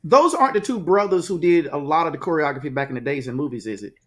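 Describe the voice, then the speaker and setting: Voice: mid-range voice, lively intonation, quick pace. An interview host asking loose, chatty, rambling questions.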